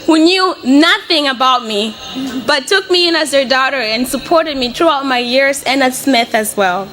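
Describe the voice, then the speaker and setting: A woman's voice: high voice, animated, normal speed, noisy background. A woman in her twenties with a Mozambican accent and Portuguese as her first language, giving an earnest, prepared personal speech as a student speaker. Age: 20s.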